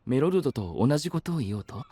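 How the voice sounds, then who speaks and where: Husky-voiced, polite young strategist. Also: male voice, mid-range voice, very animated, quick pace, warm tone. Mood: analytical. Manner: formal, courteous. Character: polite, composed, analytical young man. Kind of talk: anime voice drama acting.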